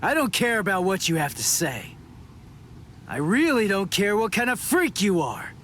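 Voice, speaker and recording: An actor in an English anime game dub playing a hot-headed, stubborn teenage Soul Reaper, brash and blunt. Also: male voice, high-pitched, big pitch swings, normal speed, a bit bright, a little hiss or hum. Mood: defiant.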